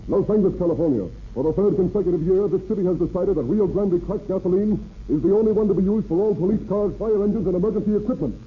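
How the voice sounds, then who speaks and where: A man's voice: high-pitched, fast talker. A man announcing a 1930s radio commercial as a police bulletin, clipped, emphatic and commanding. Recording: tinny, hissy old radio recording.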